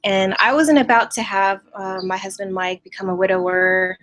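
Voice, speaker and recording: A woman's voice: mid-range voice, steady tone, normal speed, a bit bright. A woman in her thirties from California, hosting a live online training, chatting in an upbeat way with many fillers and restarts from a family home. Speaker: online business host. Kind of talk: live-streamed training talk.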